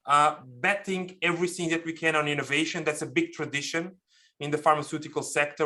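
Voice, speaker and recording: A man's voice: fairly high pitch, pushed and bright. A middle-aged man from Portugal, answering interview questions as a podcast guest in an explanatory, emphatic way. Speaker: podcast guest, pharmaceutical executive.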